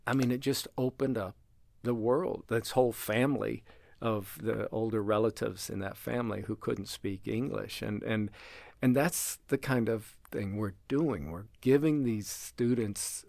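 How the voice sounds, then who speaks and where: A man's voice: on the low side, unhurried, creaky, no room sound. An older man who directs a language center, telling stories in a casual interview, acting out dialogue and laughing often. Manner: animated storyteller, acts out dialogue, laughs often.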